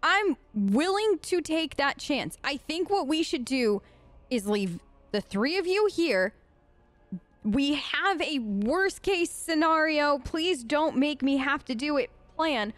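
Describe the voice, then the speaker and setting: A woman's voice: sits high, expressive, slow, fairly bright tone, dry recording, faint music behind. A woman playing through a role-play game, voicing her choices in a casual, chatty and informal way. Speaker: let's play streamer.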